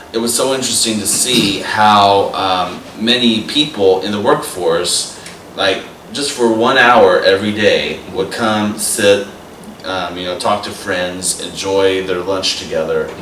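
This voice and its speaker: A man's voice: deep voice, a little slow, fairly bright tone, a little hiss or hum. A young man hosting a talk show, greeting viewers with earnest, announcer-like emphasis and reading a poem aloud. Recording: roomy, distant mic.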